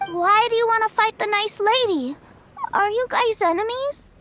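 A woman's voice: very high-pitched, moderate pace, fairly bright tone, faint music behind. A woman voicing an innocent, earnest little boy in an anime game, with a light childlike voice. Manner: guileless, eager. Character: innocent, earnest little boy who adores his big brother. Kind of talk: anime game character dialogue.

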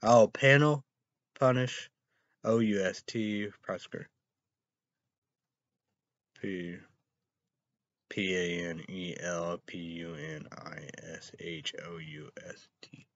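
A man in his thirties hosting a rambling solo online show, reading items out haltingly with repeated phrases and sudden loud outbursts. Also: low-pitched, fairly even intonation, dry recording. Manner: halting, spells words out, repeats phrases, bursts into loud exclamations. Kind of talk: rambling solo vlog reading weather and news headlines. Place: small dim room indoors. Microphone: webcam or laptop mic at arm's length. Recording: dull lo-fi webcam audio.

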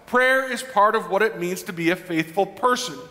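A man in his forties preaching a conversational sermon as a pastor, animated and joking with his congregation. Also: high-pitched, moderate pace. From Midwestern United States. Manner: animated, gestures broadly, jokes with the congregation. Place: large church sanctuary. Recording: long church reverb.

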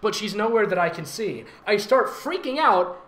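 A bright-voiced comic reader who performs amateur fiction theatrically and breaks off for mocking commentary. The delivery swings up and down a lot and slips easily into laughter. The room is echoey, with a little noise. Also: medium pitch, animated, normal speed. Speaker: fan fiction reader and host. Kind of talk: comic read-aloud with riffing commentary. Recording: roomy echo with faint background noise.

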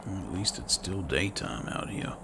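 A man doing in-character gameplay commentary, low-key and musing to himself, over game music. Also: animated, moderate pace, gravelly, background noise. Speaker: gamer playing a roleplay series.

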